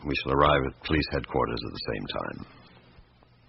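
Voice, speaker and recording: An actor playing a stern, methodical French police chief inspector who questions with measured authority in a radio drama. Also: male voice, a bass voice, lively intonation, gravelly, over music, exaggerated voice. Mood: grave, firm. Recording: muffled old radio recording, background hiss.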